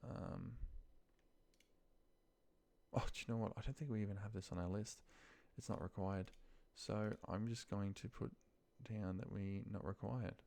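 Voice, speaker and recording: A man with a deep voice and a gravelly fry walks someone through office work on his screen. He thinks aloud casually and haltingly, half muttering to himself as he goes. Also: fairly even intonation, a little mellow. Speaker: trainer demonstrating office data work. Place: voice-over of a screen recording.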